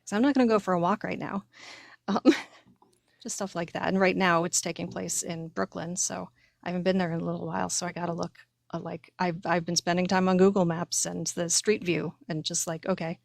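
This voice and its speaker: A woman's voice: fairly low pitch, steady tone, moderate pace, gravelly, no echo, studio quality. A woman co-hosting a casual chat, informal and thinking aloud in questions to herself. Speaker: podcast host and author. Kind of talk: casual chat about writing.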